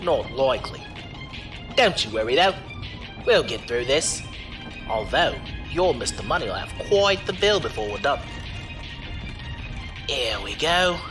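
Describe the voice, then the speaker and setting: A roguish driver-for-hire, acted by a man, breezy and unflappable. His pitch swings freely as he teases in folksy slang. Music plays behind him in this audio drama. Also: sing-song, measured pace, pauses often. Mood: cool, alert. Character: cocky roguish male driver-mercenary, wily and unflappable.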